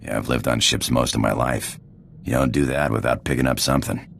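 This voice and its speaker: A man playing a cocky, roguish space pirate in a video game, with a gravelly drawl, casual slang and a flirtatious swagger. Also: fairly even intonation, a little fast, fairly bright tone, a little hiss or hum, exaggerated voice. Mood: breezy, storytelling. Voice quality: gravelly, very deep voice. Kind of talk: video game character acting.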